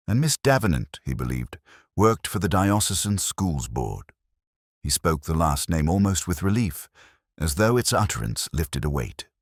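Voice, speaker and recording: Guy narrating a detective story, super deep voice, lively intonation, measured and literary. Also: no echo, studio quality. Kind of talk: scripted first-person detective story narration.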